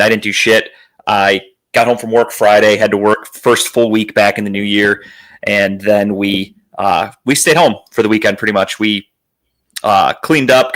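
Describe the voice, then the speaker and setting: A man hosting a podcast in casual chat, telling rambling, profane stories in a slightly raspy, worn, phlegmy voice. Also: fairly low pitch, fairly bright tone. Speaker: podcast host.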